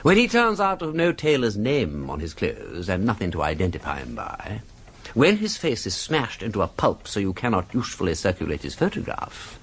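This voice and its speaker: Swooping, urbane English gentleman sleuth. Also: lowish voice, huge pitch swings, normal speed, a little hiss or hum. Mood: drily ironic. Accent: upper-class English. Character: urbane, drily witty upper-class English gentleman sleuth. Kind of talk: radio drama acting.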